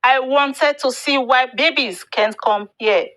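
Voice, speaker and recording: Woman voicing a high, curious young boy. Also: slow pace, fairly bright tone. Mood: sheepish. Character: curious, nervous young boy putting on bravery.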